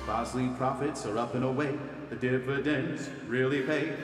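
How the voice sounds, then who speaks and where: A man's voice: mid-range voice, steady tone, normal speed, close to an everyday voice. An actor playing a young male stage performer in an audio drama. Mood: showy. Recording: distant, very echoey hall, loud music.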